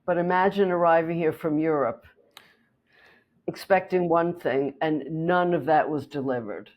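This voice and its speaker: A woman real estate agent whose first language is German, calmly explaining her work and telling a client story in an interview. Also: low-pitched, unhurried, lo-fi sound. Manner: steady, explanatory, with small restarts.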